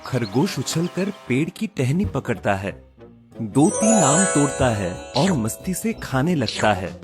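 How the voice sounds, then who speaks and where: Guy narrating a kids story, slow and animated, loud music under him. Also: mid-range voice. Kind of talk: scripted children's story narration. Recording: loud music bed behind voice.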